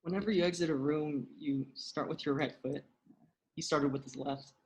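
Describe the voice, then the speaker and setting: A woman playing an earnest, excitable young human in a comedy table read. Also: sits low, normal speed, lightly acted, rough recording. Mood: sincere.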